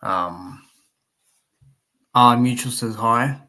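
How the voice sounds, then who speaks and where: A man with a croaky voice, chatting casually on a live stream about boxing, with plenty of fillers and asides to his chat. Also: mid-range voice, extremely slow, sharp tone. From Australia. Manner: rambling, many fillers, reads chat aloud.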